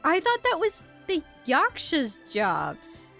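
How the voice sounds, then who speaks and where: A woman's voice: sits high, huge pitch swings, slow pace, vocal fry, a bit bright. A woman giving casual live commentary on a game, musing hesitantly as she reacts. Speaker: game streamer. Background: game music.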